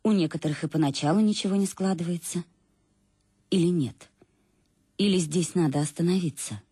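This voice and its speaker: An actress playing a reflective, embittered middle-aged wife in a radio play, confessing with irony to her absent husband in a husky voice. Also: lowish voice, moderate pace, mellow, lightly acted. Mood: wistful, questioning. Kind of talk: dramatic first-person monologue in a radio play. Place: dry studio.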